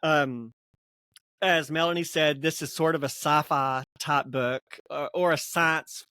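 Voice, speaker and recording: A man's voice: a little high, steady tone, measured pace, pushed and bright, clear, resonant tone, dry recording, clean studio sound. A man co-hosting a casual book discussion podcast, rambling a little, stumbling over words and laughing often. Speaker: podcast co-host.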